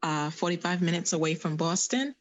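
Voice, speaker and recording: Measured middle-aged woman from Rhode Island. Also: fairly low pitch, lively intonation. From Providence, Rhode Island. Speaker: guest, tea business co-owner. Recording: dull lo-fi audio.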